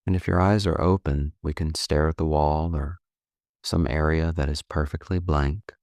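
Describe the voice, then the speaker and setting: Male meditation guide, extremely deep voice, dry studio-like sound, calm and gently instructive, taking his time between phrases. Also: dry recording. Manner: calm, unhurried, gently instructive with long pauses. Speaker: meditation coach.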